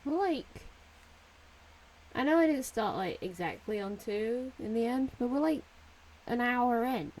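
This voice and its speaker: A woman's voice: fairly high pitch, a little slow, long pauses, darkish tone, low-quality recording. A young woman streamer chatting casually and giggling while she plays a game, now and then talking to her cat. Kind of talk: casual gameplay commentary.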